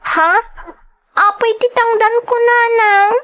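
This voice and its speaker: Woman acting plaintive high-voiced poor Ilocano girl. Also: steady tone, takes it slowly, bright, forceful tone, full character voice. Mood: hurt, protesting. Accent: Filipino, Ilocano. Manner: plaintive, pleading. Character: young schoolgirl Elaine, poor, hurt, prayerful, determined daughter. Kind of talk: Ilocano radio-style drama acting.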